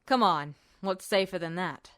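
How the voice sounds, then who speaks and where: Audiobook role: uneasy adult military man played by a woman, low, unhurried, haltingly reassuring. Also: dry recording, studio quality. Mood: strained reassurance. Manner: halting, forcedly calm.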